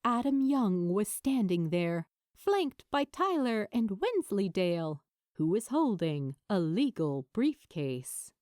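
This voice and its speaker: Female narrator for scripted fiction; unhurried and animated, recorded dry. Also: medium pitch, no echo. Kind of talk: expressive scripted story narration.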